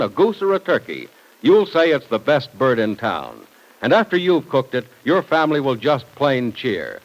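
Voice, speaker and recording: A man's voice: medium pitch, rough recording. An American man announcing and narrating in a folksy, emphatic mid-century radio sales style, on an old broadcast recording. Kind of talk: 1940s-50s radio sponsor announcing.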